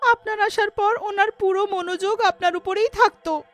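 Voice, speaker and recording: A woman's voice: very high-pitched, steady tone, moderate pace, soft background music, pitched above the performer's own voice. A woman voicing a young daughter-in-law in a story, anxious and pleading. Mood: frightened pleading. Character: scheming young daughter-in-law, frightened and apologetic.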